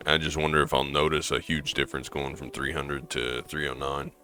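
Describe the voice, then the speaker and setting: Super deep voiced guy, hoarse from allergies, just rambling about his game, faint music under it. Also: level tone, fairly quick, bright, forceful tone. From American Midwest. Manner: rambling, thinking aloud with fillers. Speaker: gaming streamer. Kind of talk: casual gameplay commentary.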